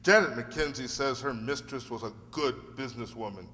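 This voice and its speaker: A man playing a probing defence lawyer in an amateur radio drama, speaking formally and deliberately. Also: medium pitch, bright, forceful tone, lightly acted. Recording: echoey hall, faint hum.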